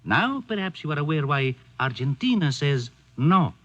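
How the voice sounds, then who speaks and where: Suave Argentine diplomat, lively higher voice. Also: male voice. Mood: wry, pointed. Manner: formal, measured, polite. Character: suave, courteous Argentine diplomat, gently ironic. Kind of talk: 1940s radio drama acting. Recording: muffled old radio broadcast, faint music.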